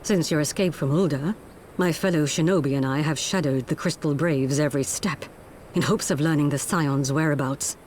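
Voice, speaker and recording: A woman's voice: low voice, moderate pace, a little mellow, slight background noise, lightly acted, poor audio. An actress playing a composed, formal female ninja leader in video game voice acting. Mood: courteous.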